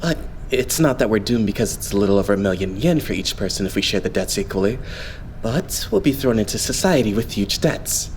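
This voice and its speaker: A man's voice: fairly low pitch, expressive, slight background noise. A man playing a devout, optimistic young male idol in an anime game fan dub, explaining things politely. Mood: earnest, serious. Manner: polite, explanatory, measured phrasing.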